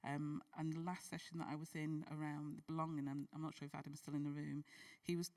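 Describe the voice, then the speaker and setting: A woman with a very low, flat voice reflects hesitantly, with plenty of ums. The sound is dry and comes from some distance. It is a conference hall recording. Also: quick pace. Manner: warm, hesitant, appreciative. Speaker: conference panellist. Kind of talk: reflective remarks.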